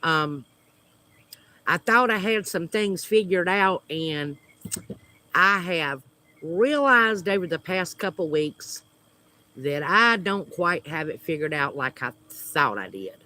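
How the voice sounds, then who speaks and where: A Southern US man with a high voice chats slowly with his livestream viewers outside at home. He talks in a hesitant, friendly way, with lots of pauses and fillers. His voice has a bright, forward edge. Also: expressive. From United States. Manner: hesitant, many fillers, friendly small talk. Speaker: homesteading channel host. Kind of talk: casual livestream chat with viewers. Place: outdoors at home.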